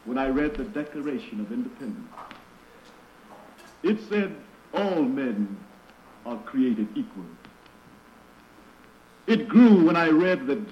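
A slow, defiant enslaved rebel. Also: male voice, a little high, darkish tone, echoey room, a little hiss or hum, low-quality recording. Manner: deliberate, declamatory, unflinching. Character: proud, defiant enslaved man, fearless gambler turned rebel. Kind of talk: 1940s radio drama acting.

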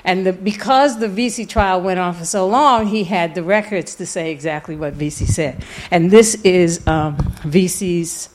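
A woman gives an informal lecture in a slightly low voice. She tells it like a story, stretching key words for emphasis. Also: moderate pace, a little mellow. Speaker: lecturer and author. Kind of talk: informal lecture with audio clips.

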